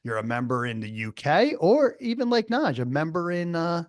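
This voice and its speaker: A man's voice: a little high, very animated, moderate pace, no echo, clean studio sound. A man co-hosting a podcast, chatting casually, enthusiastic and hyping things up, often repeating words. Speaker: podcast co-host.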